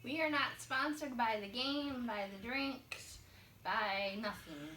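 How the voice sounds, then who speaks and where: A woman chats casually as she plays a tabletop game with her co-host, curious and quick to ask about the rules. Her voice is a little high and bright. She is heard from a distance in an echoey room, with a faint hiss behind her. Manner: chatty, curious, asking questions. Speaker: co-host and player. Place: room at home in Michigan.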